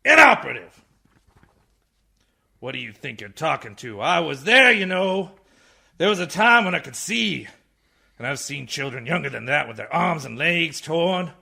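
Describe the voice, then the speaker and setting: An actor playing a blind, tired old man, a blustering, indignant orator with a raspy voice in a radio comedy. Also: fairly high pitch, heavily acted. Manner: theatrical, ranting, swings from muttering to shouting. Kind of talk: radio comedy play parodying a film speech.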